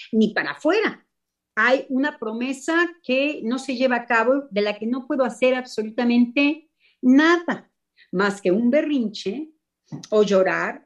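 A woman whose first language is not Spanish, speaking slowly and reflectively with many fillers and pauses. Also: fairly high pitch. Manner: hesitant, many fillers, slow thoughtful pauses. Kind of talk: reflective spoken explanation.

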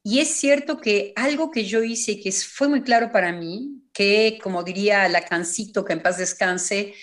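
A woman psychoanalyst speaking reflectively and personally on a panel, halting and repeating phrases for emphasis. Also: medium pitch.

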